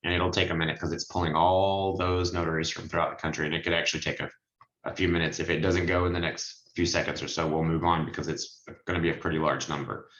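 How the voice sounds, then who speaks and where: Male online trainer, lowish pitch, informal step-by-step explainer, muffled call sound. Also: level tone, fast talker, a bit bright, echoey room, slight background noise. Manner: explanatory, step-by-step, addresses listeners directly. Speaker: notary trainer and signing agency presenter. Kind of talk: informal screen-share training walkthrough. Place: indoors on a group video call.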